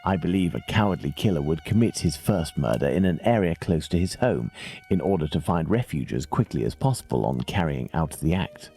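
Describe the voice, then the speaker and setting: An actor playing a brilliant, composed English gentleman detective in a video game, speaking in formal, deliberate, analytical phrases. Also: low-pitched, very animated, husky, heavily acted. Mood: grave, reasoning. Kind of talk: scripted video game acting.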